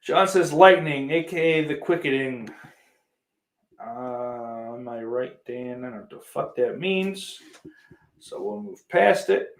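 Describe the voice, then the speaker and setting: A man's voice: mid-range voice, slow. A man hosts a casual podcast in a mid-range voice. He talks off the cuff to his listeners, reading and answering their comments. His language is blunt and full of swearing. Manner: profane, blunt. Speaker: podcast host.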